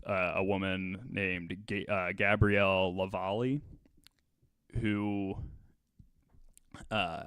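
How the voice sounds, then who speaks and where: Male podcast host, true-crime storyteller. Very unhurried, halting, clean resonant tone. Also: on the low side, fairly bright tone, clean tone, no echo, clean studio sound.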